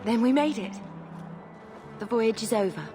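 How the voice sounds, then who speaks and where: A woman's voice: on the high side, normal speed, close to an everyday voice. A woman playing an earnest refugee in a fantasy video game, with music behind her. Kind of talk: dramatic video game cutscene acting.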